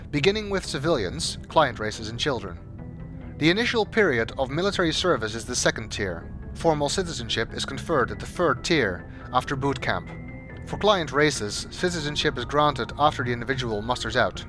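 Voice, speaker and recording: A man reads scripted, documentary-style narration in a measured, formal, expository manner. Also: medium pitch, a bit bright. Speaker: amateur voice-over narrator. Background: background music.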